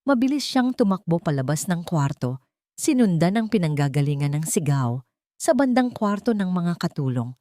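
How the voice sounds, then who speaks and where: A woman's voice: on the low side, sing-song, moderate pace, warm tone, dry recording, studio quality. A woman storyteller reading a scripted love drama in a dramatic narration style.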